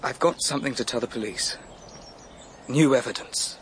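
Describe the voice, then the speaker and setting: A man's voice: mid-range voice, moderate pace, a little hiss or hum, lightly acted. An actor playing a brooding, sardonic young man in a radio drama. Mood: earnest, confiding. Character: brooding, sardonic adopted son of a murdered mother.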